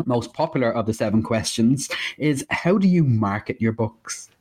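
A man's voice: mid-range voice, expressive, normal speed, a little mellow. A man co-hosting a podcast, enthusiastic and often stammering over restarts as he asks questions. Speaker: podcast co-host. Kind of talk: podcast interview.

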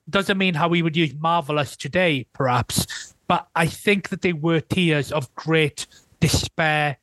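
A Welsh man talking as a guest historian in a relaxed interview, thoughtful and hedging, often restarting his sentences. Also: fairly high pitch, dry recording, rough recording. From Wales. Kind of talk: casual interview conversation.

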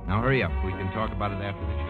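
An actor playing a wry, world-weary husband in a 1950s radio drama. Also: male voice, on the low side, very fast, natural voice. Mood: casual, brisk. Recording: muffled vintage radio broadcast, music behind.

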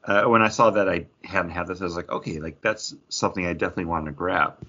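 Bright-voiced man, low pitch, dull audio. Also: quick pace. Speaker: podcast co-host. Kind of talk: casual chat, craft beer review.

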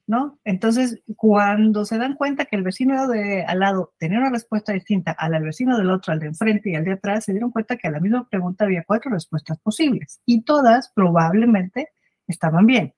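A woman's voice: medium pitch, expressive, a little fast, a little mellow, dry recording. A woman hosting a conversational interview, chatty, often closing her points with a questioning tag. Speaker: host.

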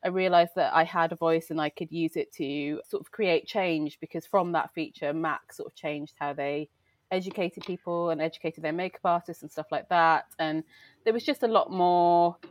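A woman's voice: fairly low pitch, dry recording. A woman from Wales with a light Welsh accent, a beauty journalist chatting casually and reflectively in an interview, with plenty of fillers and restarts. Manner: chatty, reflective, frequent fillers and self-corrections.

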